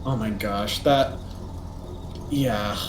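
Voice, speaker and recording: A teenage boy chatting casually as co-host, rambling and animated with lots of fillers. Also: lowish voice, extremely slow. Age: teens. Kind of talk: casual chat. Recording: echoey room, faint noise.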